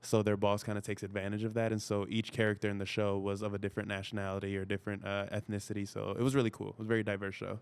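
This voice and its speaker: A man's voice: fairly low pitch, monotone, very fast, few pauses, smooth. A man whose first language is Spanish, an actor chatting easily as a podcast guest with many "you know" fillers. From United States. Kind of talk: casual interview chat.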